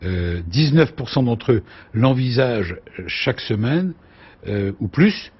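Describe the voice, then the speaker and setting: A man hosting a business TV panel, reading out survey figures with quick asides to his guests. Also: mid-range voice, slightly rough, dull audio. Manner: lively interviewer, reads out figures, quick asides. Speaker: TV show host. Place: TV studio.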